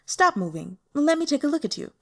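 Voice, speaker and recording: Predatory immortal woman for a fantasy audiobook, played by a female narrator: eager, domineering, lively swings in pitch. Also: brisk. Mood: gleeful, predatory. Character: predatory, gleeful immortal woman, ghost-hungry cultivator.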